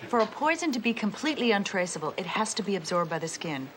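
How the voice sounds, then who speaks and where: An actress playing a calm, level-headed female police partner who speaks in a matter-of-fact way. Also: medium pitch, fast talker, slight background noise.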